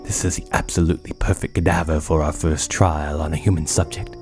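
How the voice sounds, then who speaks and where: A man acts out an obsessive young medical scientist in a horror audio drama. His low voice is imperious and precise, full of cold arrogance, with faint music behind. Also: level tone, brisk. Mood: smug.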